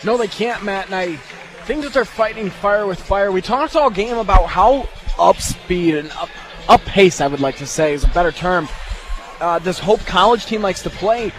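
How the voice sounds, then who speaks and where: High-voiced man, color commentator. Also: lively intonation, moderate pace, a bit bright. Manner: conversational, explanatory. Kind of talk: live radio sports analysis.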